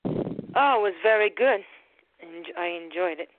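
A woman's voice: on the low side, lively intonation, unhurried, narrow, tinny audio, a little hiss or hum. A woman, a radio drama performer, giving short, simple and slightly hesitant answers as a guest. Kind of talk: brief casual interview answers.

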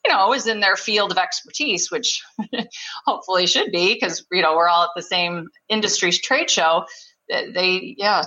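This is a woman with a bright, clear-cut voice who talks in a friendly, rambling way, full of little fillers and soft laughs. Her sound comes through slightly dull and lo-fi. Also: on the low side, normal speed. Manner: chatty, warm, with fillers and small laughs. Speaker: trade show presenter, guest. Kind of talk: conversational interview.

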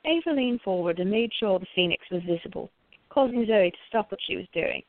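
A woman's voice: medium pitch, expressive, fairly quick, dry recording, narrow, tinny audio. A woman narrating a radio play from a script.

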